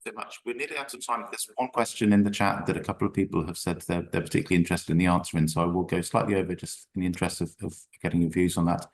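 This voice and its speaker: A man moderating a Q&A session, brisk and focused on keeping to time. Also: deep voice, a little mellow. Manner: brisk, keeps the session moving. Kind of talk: chairing a Q&A session.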